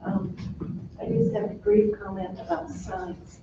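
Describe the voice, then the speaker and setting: A woman's voice: mid-range voice, steady tone, a little slow. Woman commenting at a meeting, medium voice, muffled, lots of background noise. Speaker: community meeting participant. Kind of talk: informal comment. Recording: noisy, muffled, distant, echoey room.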